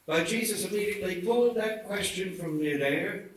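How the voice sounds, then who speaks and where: A man's voice: sits high, moderate pace. A man reading a historic speech aloud at a public event with a preacherly, oratorical delivery. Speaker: reader at a commemorative event. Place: indoor theatre event. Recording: very echoey hall, distant mic, faint noise.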